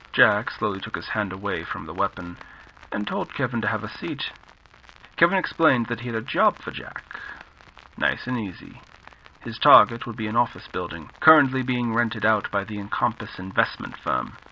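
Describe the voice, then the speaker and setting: A man narrating a scripted horror story in the style of classic radio drama, with measured, dramatic delivery. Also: lowish voice, bright, forceful tone. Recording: muffled lo-fi audio with background noise.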